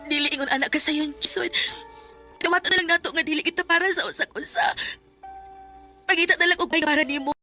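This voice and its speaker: Casting: tender young mother-to-be, Cebuano accent, high voice, remorseful radio-drama heroine over music. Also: steady tone, brisk, pushed and bright. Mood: tearful, pleading. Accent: Cebuano Filipino. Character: tender, remorseful young expectant mother torn between two men. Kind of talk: Visayan radio drama acting and narration.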